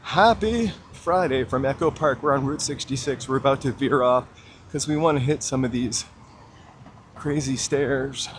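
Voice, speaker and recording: A man's voice: mid-range voice, moderate pace, a little hiss or hum. A man chatting casually to viewers as he walks city streets, greeting them by name and pointing out sights. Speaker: live-stream walking vlogger. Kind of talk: casual live-stream chat to viewers. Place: outdoors on city streets, walking. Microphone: handheld gimbal camera mic.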